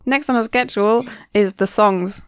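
A woman's voice: medium pitch, normal speed, smooth, no room sound. Young woman from Germany hosting student radio, chatty and laughing, dry close studio mic. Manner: chatty, laughs often, restarts sentences. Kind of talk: casual radio chat.

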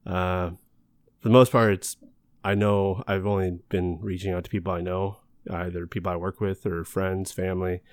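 A man's voice: low-pitched, level tone, moderate pace, dry recording. A man hosting a casual podcast, talking in a friendly, rambling way and laughing easily. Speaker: podcast host. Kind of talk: casual chat.

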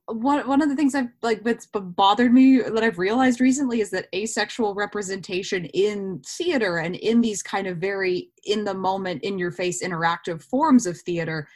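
A woman's voice: medium pitch, moderate pace, hardly any pauses, darkish tone. Thoughtful woman explaining in casual chat. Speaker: interview guest, actor.

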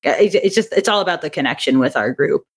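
A woman speaking as a podcast guest in a conversational interview, telling things in a chatty, animated way. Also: low-pitched, fast talker, husky. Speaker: podcast guest, audio drama writer and director.

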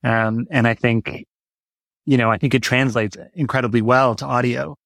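A man's voice: mid-range voice, steady tone, normal speed, creaky, fairly bright tone, no echo. A man talking as a guest in a casual interview, reflective and enthusiastic about his work. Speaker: guest, audio drama writer.

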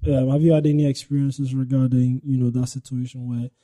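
Very dark-voiced man hosting a chat. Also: mid-range voice, little pitch movement, fairly quick. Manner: affirming, asks questions, echoes others' points. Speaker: host. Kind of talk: casual discussion.

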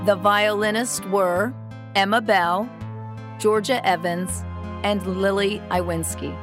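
A woman reads as a narrator at an unhurried, slow pace. Her voice sits at a middle pitch, and her delivery is scripted, measured and reverent, as for a Bible story. Faint music plays behind her. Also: steady tone.

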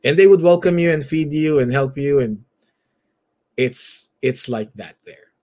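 A man from the Philippines with a Filipino accent and a husky voice, telling his story conversationally as an interview guest. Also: a little high, measured pace, dark tone. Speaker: church elder and guest.

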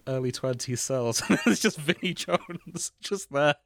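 A man's voice: on the high side, unhurried, fairly bright tone, no room sound, clean studio sound. Giggly man co-hosting a podcast. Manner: giggly, laughs often mid-sentence. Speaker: podcast co-host reading a fanfic aloud. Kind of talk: casual chat with dramatic reading.

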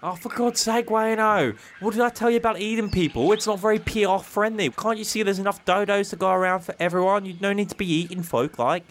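A man's voice: high voice, fairly even intonation, background noise, pitched above the performer's own voice, natural voice. A man playing a cheeky, scheming convict who talks in matey slang in a comic story. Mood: cheerful, exasperated. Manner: matey, slangy fast-talker. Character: cheeky, scheming male convict posing as easygoing nobleman.

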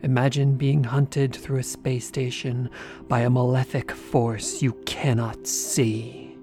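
A woman's voice: a bass voice, little pitch movement. A woman reading dramatic scripted narration for a horror trailer in an ominous manner. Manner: slow, ominous. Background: loud music.

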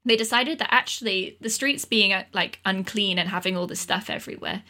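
A woman speaks in a casual, comedic podcast style. Her voice is bright and forward, at a middling pitch. She comes across as informal and wry. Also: a little fast. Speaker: podcast panelist.

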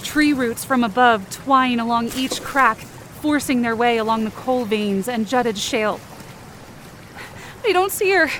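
A woman's voice: fairly high pitch, expressive, measured pace, a bit bright, slight background noise. A woman giving intense, theatrical first-person narration for a scripted fiction podcast, in short dramatic sentences. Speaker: writer and performer of fiction podcast.

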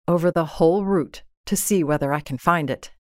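A woman's voice: low voice, normal speed, no room sound, studio quality, natural voice. A woman voicing a worried, practical husband, urgent and questioning, in a dramatised storybook reading. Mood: resolved. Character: worried, practical husband, a tired government clerk.

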